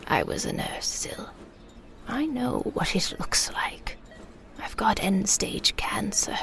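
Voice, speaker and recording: A woman playing a frail elderly dying mother in an audio drama, with a breathy, creaky voice, slowly and haltingly recalling her childhood. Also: mid-range voice, huge pitch swings, a bit bright, whispered, heavily acted. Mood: weak, resigned. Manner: slow, halting, reflective storytelling. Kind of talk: intimate audio drama monologue.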